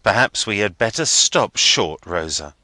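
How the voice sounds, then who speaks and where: A man voicing a stiff, half-grumbling young gentleman fiancé. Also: lowish voice, big pitch swings, dry recording, full character voice. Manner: measured, slightly sulky delivery.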